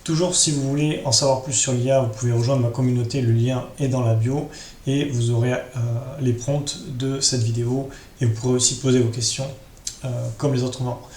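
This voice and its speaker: A man's voice: medium pitch, fairly even intonation, normal speed, darkish tone. A man giving an informal step-by-step screen-share tutorial, talking directly to his viewers. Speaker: tutorial host. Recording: roomy echo with clear background noise.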